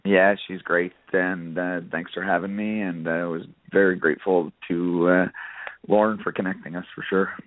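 Male interview guest, low register, halting casual delivery, narrow echoey phone audio. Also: fairly even intonation. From Nova Scotia, Canada. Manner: halting, peppered with "you know". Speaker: interview guest, country singer. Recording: narrow phone line, roomy echo.

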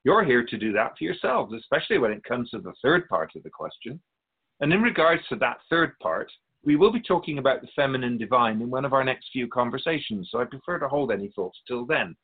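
Man voicing a husky, patient otherworldly spirit. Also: medium pitch, very animated, narrow, tinny audio. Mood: patient, knowing. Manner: measured, explanatory, gently pedantic. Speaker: spirit being interviewed. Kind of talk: scripted radio drama dialogue.

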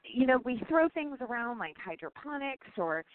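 A woman's voice: on the high side, big pitch swings, moderate pace, background noise. A woman hosting a radio show, upbeat and enthusiastic as she introduces and questions her guest. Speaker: podcast host. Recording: tinny phone-like line.

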